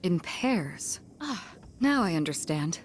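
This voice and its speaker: A woman's voice: lowish voice, very animated, slow, soft background music. A woman playing a poised, authoritative young commander in an English video game dub, formal and decisive. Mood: businesslike.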